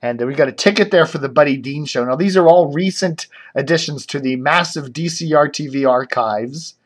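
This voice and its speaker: A man's voice: fairly high pitch, a little fast. A man hosting a casual web show on local radio and TV, chatting off the cuff, often breaking off to think aloud.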